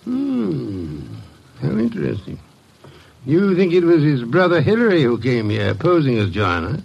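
An actor playing an eccentric, pompous, shrewd detective in a deliberate manner in an old-time radio drama. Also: male voice, on the high side, huge pitch swings, gravelly, darkish tone, breathy edge, highs cut off, heavily acted. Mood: probing, wry. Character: eccentric, pompous, shrewd male private detective, orchid fancier.

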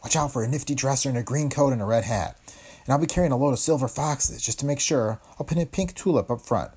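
A man's voice: mid-range voice, fast, darkish tone, husky, pitched above the performer's own voice, close to an everyday voice. A man voicing a brassy, streetwise woman tipster who talks in tough slang and calls everyone dearie. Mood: brisk. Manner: slangy tough-girl talk, keeps saying dearie.

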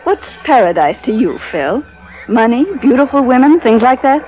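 An actress playing a poised, sly, scheming wife with a biting edge in an old-time radio drama. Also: female voice, fairly high pitch, sing-song, normal speed. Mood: sly, coaxing. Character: cool, scheming wife bargaining with a sea captain. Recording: muffled vintage radio broadcast.

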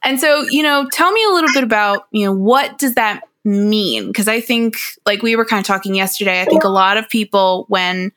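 A woman's voice: mid-range voice, expressive, moderate pace, hardly any pauses, a bit bright, no room sound. A chatty woman hosts a podcast, her voice a little nasal from a summer cold. She laughs easily between her thoughts. The sound is close and dry, with no room around it. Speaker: podcast host. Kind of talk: casual podcast chat.

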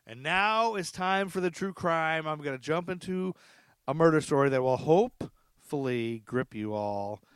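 A man's voice: fairly high pitch, lively intonation, measured pace, clean tone, no room sound, clean studio sound. A man hosting a podcast, telling true crime stories partly read from notes, with informal, joking asides. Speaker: podcast host. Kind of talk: true crime storytelling, part read from notes, part casual chat.

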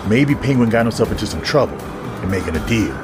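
Loyal male police detective played by a man: deep, serious, questioning, with loud music behind. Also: sing-song, fairly quick. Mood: wary, serious. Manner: serious, plain-spoken, questioning. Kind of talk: audio drama acting.

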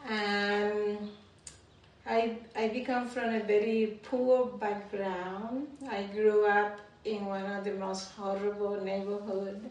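A woman's voice: medium pitch, level tone, slow pace, a little mellow. A woman from the Dominican Republic with a Dominican Spanish accent, telling her life story as an interview guest at home. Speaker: interview guest giving testimony. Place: indoors at home. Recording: very echoey room, distant mic, faint noise.

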